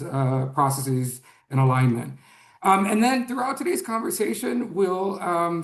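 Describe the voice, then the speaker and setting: A man presenting an education webinar, a little hoarse, earnest, with frequent ums and restarts, speaking over a video call. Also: a little high, echoey room.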